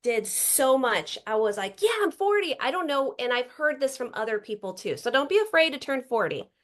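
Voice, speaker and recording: A woman's voice: sits high, sing-song, moderate pace. A woman in her forties, a podcast guest chatting casually, upbeat and talkative, often trailing off and restarting her thoughts. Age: 40s. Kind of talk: casual chat.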